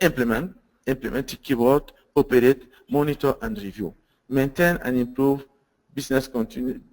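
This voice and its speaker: Guy presenting a webinar, slightly high voice, lots of uh uh and restarts. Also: darkish tone, poor audio. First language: French. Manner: earnest, with repeated fillers, restarts and rhetorical questions. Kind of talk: webinar presentation on security standards.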